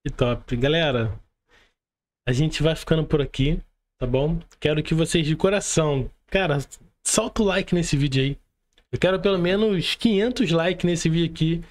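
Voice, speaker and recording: Male podcast host, Brazilian accent, slightly high pitch, casual, informal, frequent check-in tags. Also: normal speed. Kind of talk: casual podcast hosting, addressing audience and guest.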